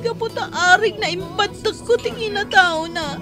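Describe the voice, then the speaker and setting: An actress playing a hurt, ashamed young adopted daughter in an emotional, tearful radio drama style. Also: female voice, extremely high pitch, moderate pace. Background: prominent dramatic music.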